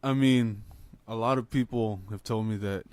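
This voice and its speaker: A young man co-hosting a casual podcast, talking bluntly with lots of swearing and animated opinions. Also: lowish voice, normal speed, clear, resonant tone, dry recording. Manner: blunt, profane, animated fight talk. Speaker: podcast co-host. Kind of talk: casual chat.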